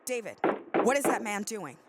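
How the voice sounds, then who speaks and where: A woman playing a tense, exasperated radio co-host in an audio drama, sharp, pleading and insistent. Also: medium pitch, big pitch swings, normal speed, very noisy, natural voice. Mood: urgent.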